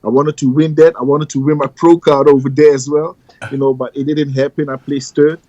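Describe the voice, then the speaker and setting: Male interview guest, halting delivery; slightly high voice, dry dull audio. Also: flat delivery, mellow, dry recording. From Ghana, raised in the Netherlands. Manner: halting, many "you know" fillers. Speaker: guest, pro bodybuilder. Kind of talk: casual interview chat.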